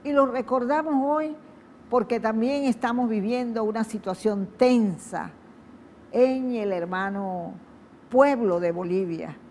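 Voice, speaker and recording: A woman's voice: on the high side, slow, a little mellow, a little hiss or hum. A Venezuelan woman hosting a TV program, introducing her guest in a solemn, emphatic, speech-like manner. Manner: solemn, emphatic, repetitive phrasing. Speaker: TV program host. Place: outdoors at a public event.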